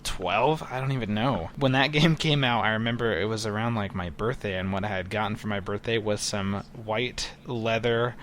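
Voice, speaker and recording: Lowish-voiced man in his mid-twenties. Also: normal speed, few pauses, fairly bright tone, slight background noise. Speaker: podcast co-host. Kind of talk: casual chat.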